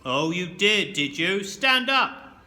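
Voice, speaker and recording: A man's voice: sits high, unhurried, exaggerated voice. A man voicing a stern, bullying old male schoolteacher with a military manner, barking orders. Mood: barking, menacing. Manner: barking, shouted commands.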